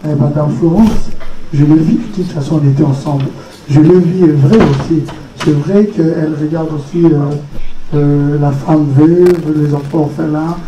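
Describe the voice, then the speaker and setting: A man's voice: fairly high pitch, dark tone. A man giving an earnest, repetitive talk at a conference, answering a question about his support for orphans. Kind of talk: impromptu public talk. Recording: roomy hall, voice from a distance.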